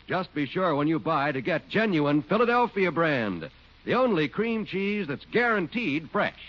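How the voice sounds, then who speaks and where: Polished 1940s radio announcer. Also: male voice, a little high, sing-song, normal speed, noisy background. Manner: smooth, polished announcer delivery. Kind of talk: 1940s radio sponsor ad and credits. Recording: dull 1940s radio broadcast with music.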